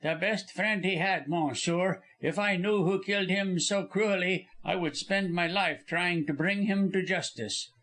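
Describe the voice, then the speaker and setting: A man's voice: high-pitched, unhurried, few pauses, fairly bright tone, voice pushed up. A man voicing a suave young Austrian card player with a foreign accent and polished, courteous manner.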